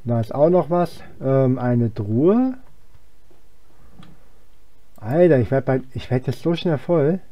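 A man's voice: mid-range voice, expressive, takes it slowly, long pauses, muffled recording. A young man giving casual gameplay commentary, rambling and thinking aloud as he plays. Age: young adult. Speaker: let's player.

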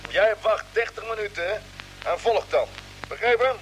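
An actor playing a calm, authoritative spaceship captain giving brisk orders in a vintage radio drama. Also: male voice, high-pitched, faint music behind, low-quality recording. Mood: commanding. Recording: old radio recording with background noise.

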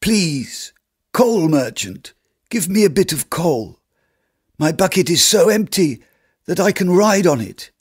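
A man's voice: sits high, sing-song, slow pace, breathy edge, pitched above the performer's own voice, heavily acted. A man doing a freezing, begging pauper. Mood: pleading. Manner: pleading, humble, desperate.

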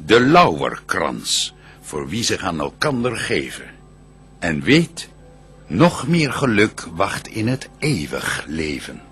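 A very deep, stately archangel in radio drama. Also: male voice, sing-song, slow, fairly bright tone, slightly rough, faint music behind, heavily acted. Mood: solemn, exalted. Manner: stately, ceremonious, declamatory. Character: solemn male archangel bringing God's wedding blessing. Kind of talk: declaimed verse radio drama.

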